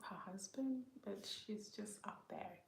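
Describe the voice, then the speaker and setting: A woman from Uganda hosting a casual livestream, speaking softly and often near a whisper as she greets viewers by name and reads their comments. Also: medium pitch, measured pace, creaky, reverberant. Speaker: livestream host.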